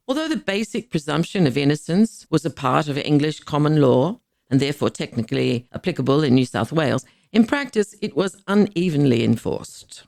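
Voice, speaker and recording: A woman reading scripted documentary narration in a measured, explanatory way, stressing key words. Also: low voice, gravelly, a little mellow, no echo. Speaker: podcast host and narrator.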